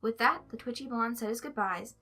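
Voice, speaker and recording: A woman narrating a story aloud in an expressive, scripted reading style. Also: fairly high pitch, a little fast, soft background music. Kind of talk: expressive fan fiction reading.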